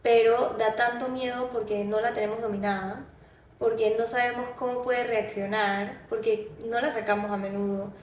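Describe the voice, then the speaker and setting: A woman speaks as a podcast co-host in a thoughtful, explanatory manner. Her intonation stays flat and even. The sound is roomy and a little far from the microphone. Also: fairly high pitch, moderate pace. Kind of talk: reflective conversational discussion. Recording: roomy, distant mic, faint noise.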